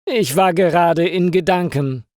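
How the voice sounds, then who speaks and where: A man's voice: high-pitched, a little slow, a little mellow, no echo, clean studio sound, pitched above the performer's own voice. A man voicing an apologetic, absent-minded young male baker in an audiobook story. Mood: sheepish. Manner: apologetic, pondering.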